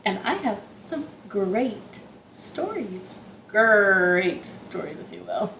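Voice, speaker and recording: A woman's voice: medium pitch, big pitch swings, slow pace, fairly bright tone, slight background noise. Playful woman hosting storytime in an echoey room. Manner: playful, chatty, rambling asides. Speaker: children's storytime host. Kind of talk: interactive storytime for young children. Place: living room at home.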